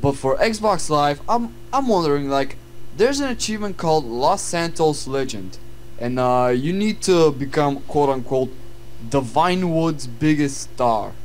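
A man's voice: on the high side, lively intonation, a little slow, a little hiss or hum. A young man with a Bulgarian accent co-hosting casual gaming commentary, laid-back and hesitant. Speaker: gaming channel co-host.

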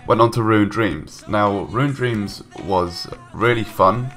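Young male gaming vlogger, very low voice, stuffed-up from a cold, hesitant rambling, faint music behind. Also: slow pace, fairly bright tone. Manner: rambling, hesitant, many ums and restarts. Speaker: gaming YouTuber. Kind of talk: casual voice-over commentary. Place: home room, recorded separately from gameplay.

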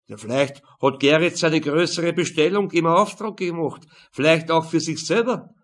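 A man's voice: fairly high pitch, normal speed, a bit bright, pitched above the performer's own voice. A man voicing a matter-of-fact veteran detective in an audiobook, explaining dryly.

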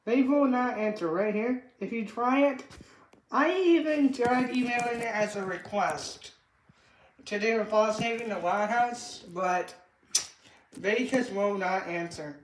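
A woman chatting casually and unscripted about toys, in a halting, repetitive way that trails off. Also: a little high. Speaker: toy reviewer. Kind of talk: casual unscripted toy review chat. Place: indoor room with hard tiled floor. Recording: echoey room, background noise, clicks.

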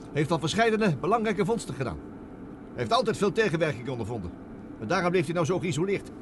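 An actor playing an eager, stammering professor and inventor, on an old radio play tape with hiss. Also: male voice, a little high, animated, quick pace, close to an everyday voice. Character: excitable middle-aged male professor inventor. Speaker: professor Ben Curtis. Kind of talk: 1960s radio drama acting.

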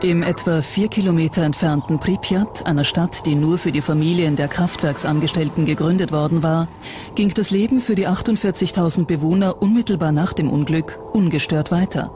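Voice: medium pitch, a little fast, darkish tone. A documentary narrator reading a scripted narration. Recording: music behind the voice. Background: background music.

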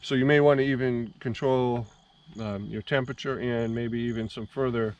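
A man vlogging about his motorcycle in a casual, halting way, with plenty of ums, later talking from inside a helmet while riding on the highway. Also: fairly even intonation. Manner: halting, many ums and restarts. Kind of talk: casual how-to talk. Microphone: helmet mic in a full-face helmet. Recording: muffled helmet mic with loud wind noise. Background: loud wind and road noise.

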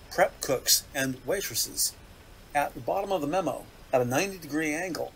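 A man's voice: a little high, fairly bright tone, a little hiss or hum, low-quality recording. A man reading scripted narration for a detective audio drama. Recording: music under the voice. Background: dramatic score music.

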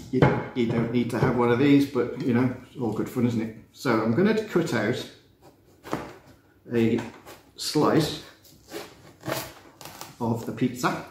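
A man, teaching a relaxed cooking demonstration in a chatty, self-mocking way with playful asides. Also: mid-range voice. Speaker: cooking instructor. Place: glass-walled kitchen room facing a garden. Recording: roomy echo, faint background noise.

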